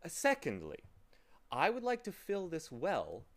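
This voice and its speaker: Casting: a man plays an overly pleasant, courteous storybook gentleman, higher-pitched, swooping and emphatic, cheerfully doling out pointless chores. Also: huge pitch swings, heavily acted. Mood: explaining, fussy. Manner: happy, courteous, drawn-out emphatic delivery. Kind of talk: storybook character voice.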